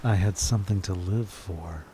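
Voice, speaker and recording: An actor playing an earnest, lovestruck male secret agent in a comedic audio drama, husky and emphatic, with a pleading manner. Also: low-pitched, normal speed, warm tone, a little hiss or hum, lightly acted, low-quality recording.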